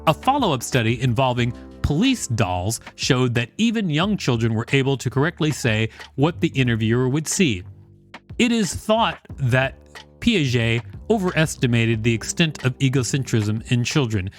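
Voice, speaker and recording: A man's voice: medium pitch, very animated, moderate pace, soft background music. A man hosting a podcast, reading an encyclopedia article aloud. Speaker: podcast host.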